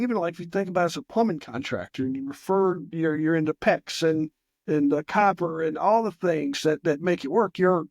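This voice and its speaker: Male host, Southwest Virginia accent, higher voice, dry sound, loose rambling delivery. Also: no room sound. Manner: rambling, many fillers and restarts. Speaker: podcast host. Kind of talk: conversational interview.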